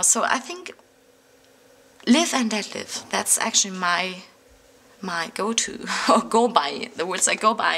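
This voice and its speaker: German woman from Berlin with a bright voice; rambling, filler-heavy English vlog talk to camera. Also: mid-range voice, gravelly. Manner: rambling, frequent fillers and restarts. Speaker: planner vlogger. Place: indoors at a table, overhead camera on hands.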